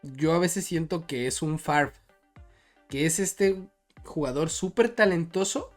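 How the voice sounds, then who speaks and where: A man's voice: a little high, a little slow. Man talking sports over music. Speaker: sports podcast co-host. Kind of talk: casual football discussion.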